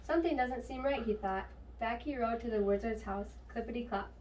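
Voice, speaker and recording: Higher-voiced woman reading, off the mic. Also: brisk, darkish tone. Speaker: storybook reader. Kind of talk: read-aloud children's story narration. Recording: distant mic, faint noise.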